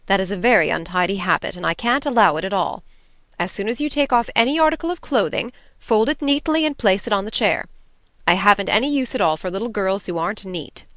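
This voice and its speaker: A woman's voice: mid-range voice, fairly quick, a bit bright, no echo, studio quality, lightly acted. A woman reading an audiobook, voicing a strict, prim older woman who scolds stiffly. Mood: stern.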